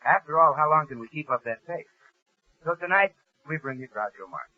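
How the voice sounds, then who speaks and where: A man's voice: on the high side, animated, brisk. A man working as a 1940s radio announcer and polite straight man in comic banter. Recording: tinny, band-limited 1940s radio broadcast.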